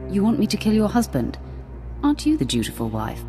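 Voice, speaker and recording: A woman's voice: medium pitch, huge pitch swings, a little fast, music in the background. A woman playing a cocky, menacing female warrior in a video game, dry, defiant and mocking. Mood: cool menace.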